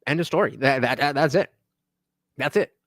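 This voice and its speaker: A man hosting a live stream, reacting to a debate clip with quick, profane asides. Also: mid-range voice, a bit bright, no echo, clean studio sound.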